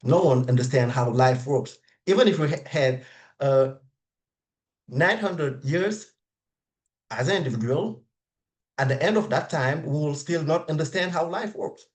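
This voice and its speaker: A man whose first language is French, speaking English on a video call with a French accent and halting, with many restarts and repeated words as he reflects aloud. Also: fairly high pitch, expressive. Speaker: webinar participant. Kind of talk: reflective spoken comment.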